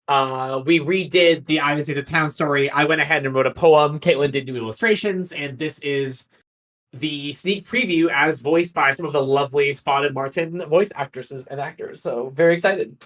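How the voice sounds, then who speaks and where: A man's voice: fairly high pitch, normal speed, fairly bright tone. A man hosting a livestream, giving a casual explanation to viewers.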